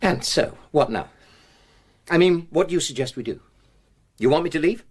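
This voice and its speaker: A man's voice: on the high side, expressive, moderate pace, a little mellow, husky. An actor playing a defensive, indignant middle-aged English husband and father in a naturalistic radio drama, speaking in an emphatic, clipped, self-justifying way.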